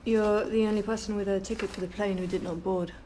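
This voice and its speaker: An actress playing a blunt, businesslike detective questioning people in a naturalistic TV drama. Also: female voice, medium pitch, steady tone, fast, dark tone, a little hiss or hum, close to an everyday voice. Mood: blunt, pressing. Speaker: police detective.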